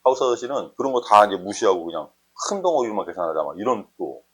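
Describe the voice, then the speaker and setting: Male math tutor, husky voice with animated intonation; informal, explains step by step and checks in often. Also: lowish voice, fast. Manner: conversational, often checks understanding with short questions. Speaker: math teacher. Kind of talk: informal explanatory math lesson, thinking aloud.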